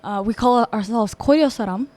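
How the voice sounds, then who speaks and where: A woman in her twenties from Russia, a podcast guest who talks softly and a little nervously, with some hesitation. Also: on the high side, moderate pace. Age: 20s. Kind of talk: interview conversation.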